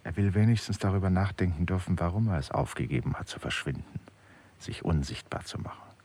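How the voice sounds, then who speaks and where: An actor playing a grave, brooding male narrator in a literary radio play, speaking with measured intensity and a husky voice. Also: low voice, expressive, a little mellow.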